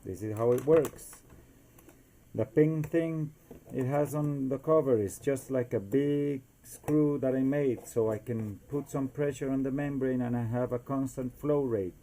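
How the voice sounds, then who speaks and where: A man's voice: medium pitch, a little slow, warm tone, a little hiss or hum, rough recording. A man from Costa Rica with a Costa Rican Spanish accent gives an informal, homemade explainer in non-native English, often searching for words and slipping in Spanish fillers. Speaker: amateur inventor demonstrating a homemade device.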